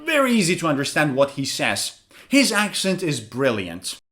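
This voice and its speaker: Lively high-voiced man teaching pronunciation. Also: moderate pace. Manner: animated, questions then answers himself. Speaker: English teacher. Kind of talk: pronunciation lesson.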